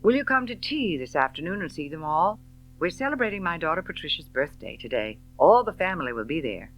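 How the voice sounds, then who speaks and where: A woman's voice: deep voice, sing-song, fairly quick, low-quality recording. A woman as a genial, talkative middle-aged shopkeeper man. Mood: chatty. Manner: effusive, flowery, chatty.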